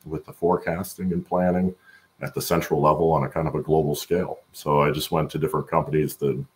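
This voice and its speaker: A middle-aged man with a gravelly voice, answering conversationally as an interview guest on a video call. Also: very deep voice, little pitch movement, fast. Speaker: podcast guest, supply chain executive. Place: indoor room at home, on a video call.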